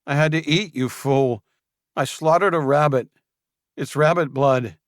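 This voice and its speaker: A man's voice: a little high, normal speed, no echo, clean studio sound, pitched above the performer's own voice, lightly acted. A man voicing a cornered young male traitor who argues defensively and pleads, growing agitated under questioning. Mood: defensive, pleading.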